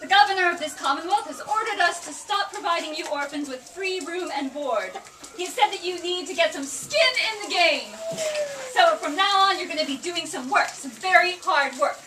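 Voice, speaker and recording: A woman's voice: very high voice, moderate pace. A woman voicing a bossy grown woman who runs an orphanage, barking orders in an amateur puppet show. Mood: stern. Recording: roomy, distant, noisy live room.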